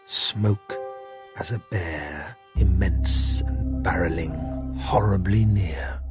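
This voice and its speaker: A man reading a dramatic poem in clipped, intense fragments, his delivery often hushed. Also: sits low. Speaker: reader. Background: music.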